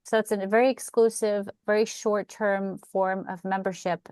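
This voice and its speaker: Woman, live call presenter, eager, explanatory, middle pitch, dry sound. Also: level tone, moderate pace, darkish tone, clean tone, dry recording. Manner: eager, explanatory, some filler hesitations. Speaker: team member presenting.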